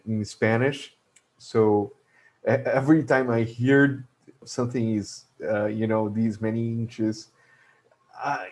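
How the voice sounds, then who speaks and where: A man whose first language is Portuguese, speaking English with a Brazilian Portuguese accent, explaining a logistics topic in a video lesson with many pauses and filler sounds. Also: medium pitch, a little mellow. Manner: hesitant, many filler sounds and restarts, chuckles to himself. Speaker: logistics educator.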